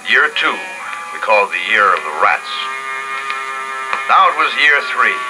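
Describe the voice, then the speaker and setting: A steady, thoughtful survivor of an emptied world, in a vintage radio play. His voice is low and his tone is measured and reassuring. Loud orchestral music sits under the old broadcast. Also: rough recording. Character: adult male survivor husband, steady and thoughtful. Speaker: narrator and lead character. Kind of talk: 1950s radio drama acting.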